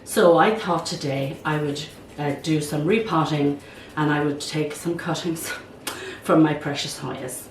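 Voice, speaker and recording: An older woman talks in a low, croaky voice, hoarse from a cold. She chats eagerly and thinks aloud as she works. She is heard from some way off the mic in an echoey room, with a faint hiss behind her. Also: unhurried. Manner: chatty, enthusiastic, thinks aloud while working. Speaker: plant channel host. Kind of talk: casual vlog. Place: at home, indoors. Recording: roomy sound from a distance.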